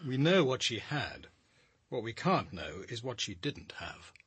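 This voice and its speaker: An actor playing a shrewd, dry, measured detective in a radio drama. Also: male voice, medium pitch, very animated, fairly bright tone, slightly rough, no echo, exaggerated voice. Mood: dry, probing.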